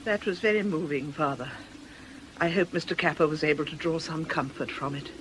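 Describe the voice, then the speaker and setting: An actress playing a composed, discreet church sister in a radio drama, measured, polite and solemn. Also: female voice, sits low, lightly acted. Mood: grave, concerned. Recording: noisy old radio drama recording.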